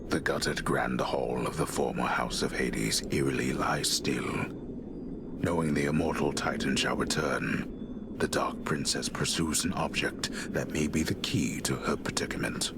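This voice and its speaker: Very deep, hushed game narrator. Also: male voice, fairly even intonation, measured pace, heavy background noise. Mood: grave, ominous. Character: grave, ominous male storyteller of myth. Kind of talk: scripted video game narration.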